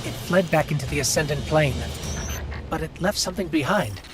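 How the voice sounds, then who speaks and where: An actor playing a resolute, authoritative field leader in a video game, brisk and commanding. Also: male voice, a little high, over music, lightly acted. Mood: alert, businesslike. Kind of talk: video game dramatic dialogue.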